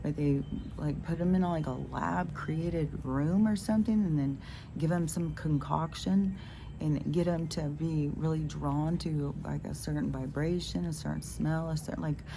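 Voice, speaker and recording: Low gravelly stuffed-up woman outdoors. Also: a little slow. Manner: meandering, many fillers and restarts. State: stuffed-up nose. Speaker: spiritual vlogger. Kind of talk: rambling casual monologue. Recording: noisy outdoor phone recording.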